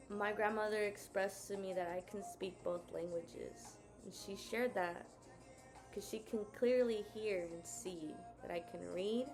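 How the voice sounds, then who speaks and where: Chatty female co-host telling everyday stories at a slow, gravelly pace. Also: mid-range voice, a little mellow. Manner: chatty, storytelling. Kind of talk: casual talk sharing examples.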